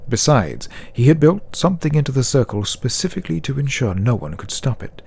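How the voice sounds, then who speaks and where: A man reading a scripted fantasy story as narrator, with dramatic, deliberate phrasing. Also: medium pitch, sing-song, vocal fry, darkish tone, breathy edge. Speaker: audiobook narrator.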